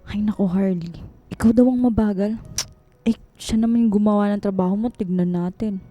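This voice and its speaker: A woman's voice: mid-range voice, a little fast, lo-fi sound, faint music behind, natural voice. A woman playing a supportive, protective office friend, consoling and loyal, in a radio drama. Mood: indignant. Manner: consoling, indignant on friend's behalf.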